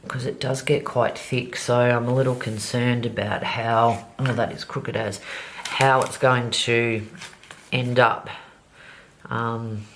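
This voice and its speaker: A woman's voice: a bass voice, steady tone, takes it slowly, a little hiss or hum. A woman vlogger chatting casually as she decorates her planner, slightly nasal from a cold, with many ums. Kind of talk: casual plan-with-me narration while decorating.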